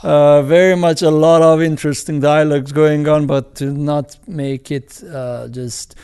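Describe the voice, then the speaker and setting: A man with an Iranian accent, a film director speaking as an interview guest in a halting way, with many restarts, fillers and chuckles. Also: fairly high pitch, level tone, darkish tone, smooth. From Iran. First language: Farsi. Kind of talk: casual interview talk.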